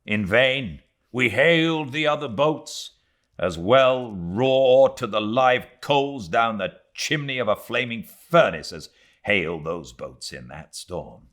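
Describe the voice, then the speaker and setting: A British man giving a dramatic reading of a literary audiobook, theatrical in delivery, moving between swelling intensity and hushed tones. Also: medium pitch, animated, takes it slowly. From Britain. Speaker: audiobook narrator.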